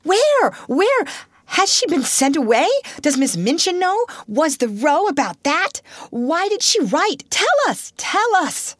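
A woman voicing a crowd of excited schoolgirls clamouring with high, breathless questions. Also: slow pace, no room sound, clean studio sound, full character voice.

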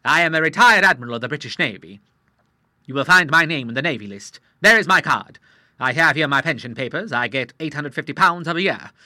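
A man voicing a hot-tempered retired admiral of sixty-three, speaking loudly and with affront. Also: fairly high pitch, lively intonation, brisk, no echo, pitched above the performer's own voice. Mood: indignant. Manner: loud, blustering and affronted.

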